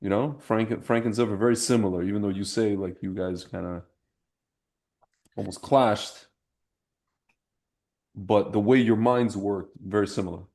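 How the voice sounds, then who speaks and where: A man's voice: fairly low pitch, fairly even intonation, moderate pace, pauses often, darkish tone. A young man hosting a podcast, talking in a loose, rambling way with many restarts. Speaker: podcast host. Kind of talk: casual chat.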